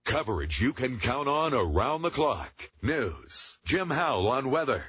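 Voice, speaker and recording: A man reading a scripted radio station promo in a smooth promotional delivery. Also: medium pitch, sing-song, unhurried, a bit bright. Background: faint music.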